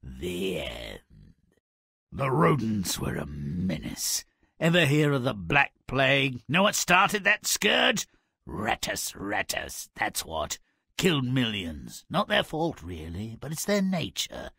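An actor playing a gleefully malicious, sardonic little fairy-tale troublemaker in a video game, with a raspy, gravelly voice and a theatrical, gloating delivery. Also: male voice, medium pitch, huge pitch swings, takes it slowly, no echo, studio quality, full character voice. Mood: wry storytelling. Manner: sardonic, gloating, theatrical, swings from murmur to shout. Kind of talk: theatrical scripted game narration.

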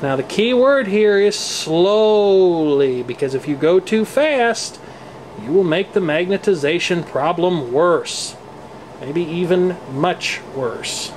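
American man, slightly high voice, casual how-to narration, folksy and wry, camcorder audio, noticeable background hum. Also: rough recording. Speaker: presenter demonstrating tape deck maintenance. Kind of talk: casual hands-on demonstration. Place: indoor room at home. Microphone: handheld camcorder microphone.

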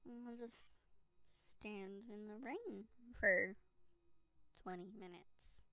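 A woman acting a devoted young girlfriend in an ASMR roleplay, murmuring to herself with hesitant pauses on a close microphone. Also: mid-range voice, sharp tone, heavily acted. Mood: dismayed, self-pitying. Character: devoted young girlfriend, eager then self-pitying in rain. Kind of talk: slow ASMR roleplay monologue. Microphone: close USB condenser microphone.

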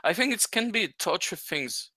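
A man chatting excitably with friends over a gaming voice call. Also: high voice, moderate pace, no room sound. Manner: excitable, laughing. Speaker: gamer. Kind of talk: casual chat over voice call.

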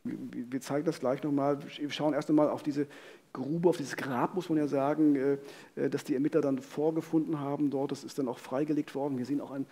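A man's voice: mid-range voice, fairly even intonation, brisk, warm tone. A middle-aged man co-hosting a live true-crime talk, phrasing questions in a searching, self-correcting way. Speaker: co-host. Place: vaulted venue, live audience on stage. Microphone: headset.

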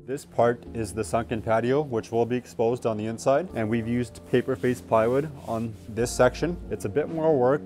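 A man's voice: mid-range voice, normal speed, few pauses, dark tone. Male builder, thirties, practical and easygoing explainer, faint music bed. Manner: matter-of-fact, agreeable. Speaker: builder, concrete forming expert. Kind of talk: casual explanation on site. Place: outdoor residential construction site under a raised house.

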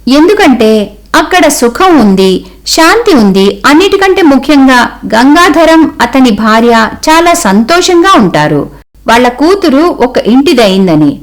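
A woman's voice: sits high, very animated, measured pace. A woman narrating a scripted cartoon storybook tale as the storyteller.

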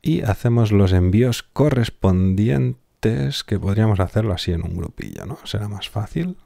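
A man giving an informal software tutorial, offhand and thinking aloud as he works, close on the mic. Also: lowish voice, lively intonation, normal speed, gravelly, dry recording. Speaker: online audio software instructor.

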